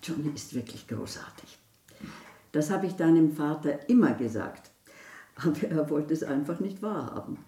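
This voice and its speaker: Low-voiced, worldly older lady novelist. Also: lively intonation, normal speed, mellow, reverberant, far from the mic. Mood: sympathetic, probing. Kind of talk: German radio drama acting.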